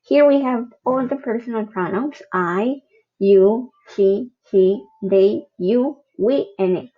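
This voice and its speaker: A woman teaching a grammar lesson, slowly explaining each example and checking in with the learner. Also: medium pitch, breathy edge, muffled recording. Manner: patient, spells out each example. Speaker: English teacher. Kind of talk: slow recorded grammar lesson.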